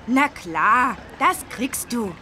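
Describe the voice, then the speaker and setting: A woman's voice: a little high, animated, very slow, bright, forceful tone, slight background noise, heavily acted. A woman voicing an eager, helpful little boy in a children's educational game. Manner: eager, chirpy. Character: bright, helpful little boy, the elephant's friend.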